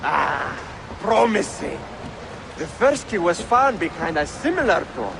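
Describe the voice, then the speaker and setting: A commanding male villain in a game cutscene. His voice is high and swings widely in pitch, forceful and impatient. Clear background noise surrounds him. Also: very animated, measured pace, full character voice. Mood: eager. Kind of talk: video game cutscene acting. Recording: loud noisy outdoor game ambience.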